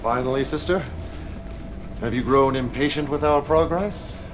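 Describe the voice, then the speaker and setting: An actor playing a zealous, commanding prophet of a militant cult in a video game cutscene, speaking in a measured, theatrical way over music. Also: male voice, mid-range voice, natural voice. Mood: measured, authoritative.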